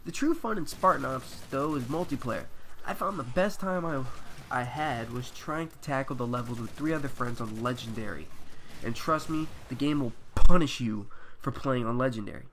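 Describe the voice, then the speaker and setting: A man's voice: mid-range voice, normal speed, fairly bright tone, slight background noise. A man with a stuffy head cold, reading a prepared video game review aloud to his viewers with the odd um. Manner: reads a prepared review with filler ums. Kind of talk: scripted review read to camera.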